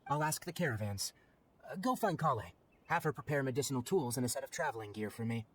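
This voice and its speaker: A man's voice: mid-range voice, expressive, fast talker, close to an everyday voice. A man voicing a businesslike young forest watcher in a video game, speaking in a matter-of-fact, curt way. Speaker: voice actor in game dialogue. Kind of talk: scripted video game voice acting. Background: game background music.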